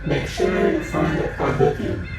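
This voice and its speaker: A woman's voice: sits low, a little slow. A woman playing a sinister, gloating villain in a sci-fi audio drama, speaking ominously. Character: sinister female villain plotting for a dark faction. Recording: heavy echo, distant, loud music behind.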